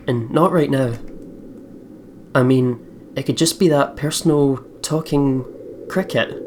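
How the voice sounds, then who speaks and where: A man hosting a let's play, chatting casually and reading visual novel narration aloud. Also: mid-range voice. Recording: slightly noisy home recording.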